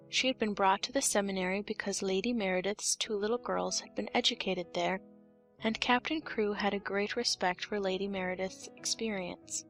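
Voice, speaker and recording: A woman's voice: medium pitch, fairly bright tone. A woman reading scripted storybook narration as an audiobook narrator.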